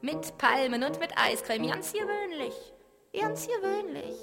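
A woman's voice: high voice, lively intonation, unhurried, gravelly, over loud music. A woman performing a theatrical cabaret song, half spoken and half sung. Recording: loud musical accompaniment.

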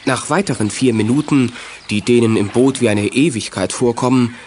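A male narrator performed by an actor tells a youth detective story in scripted radio play style. His voice is mid-pitched, and the old recording has clear noise behind it. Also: moderate pace, a little mellow, close to an everyday voice. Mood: storytelling.

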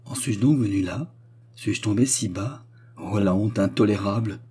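A man's voice: fairly low pitch, normal speed, dark tone, husky. A man voicing a proud, distraught noblewoman, a widow who complains in haughty, tearful exclamations, as in an audiobook. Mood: humiliated outrage.

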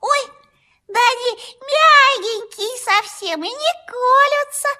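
Young hedgehog: high sharp voice, unhurried, grumpy and curious, children's storybook play. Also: female voice, full character voice. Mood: amazed. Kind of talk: children's audio play acting.

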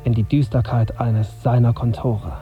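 An actor playing a brooding young journalist, narrating his tense inner thoughts in a measured, husky voice for a radio drama. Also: medium pitch, fairly even intonation, over music, natural voice. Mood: anxious, introspective. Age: young adult. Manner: introspective, measured, bitter self-questioning.

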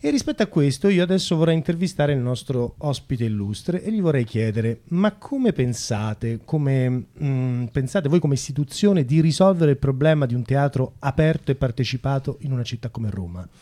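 A man's voice: mid-range voice, animated, normal speed, few pauses, mellow. A man interviewing on radio in a semi-improvised satirical style, politely mock-formal, with a hesitant, searching delivery.

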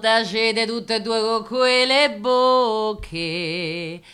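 An actress playing a bossy young working-class woman in a radio play, unhurried, with a Roman accent. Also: a little high, fairly bright tone, clear, resonant tone, soft background music. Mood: playful, scolding. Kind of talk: theatrical radio drama dialogue.

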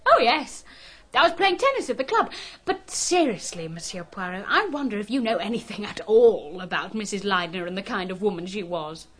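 A woman's voice: mid-range voice, sing-song, moderate pace. A woman playing a cool, outspoken young society woman in a radio drama, with an upper-class English accent and a sardonic manner. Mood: cool, provocative. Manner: blasé, sardonic, blunt.

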